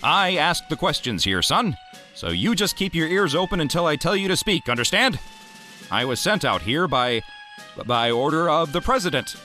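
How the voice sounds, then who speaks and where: A lively, bright-voiced male ghost hunter acted by a man in an audio drama. He is earnest and eager, slightly high in pitch, with faint music behind him. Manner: enthusiastic, earnest. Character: eager, earnest male ghost-hunting scientist, bluffing as colonel. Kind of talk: full-cast audio drama acting.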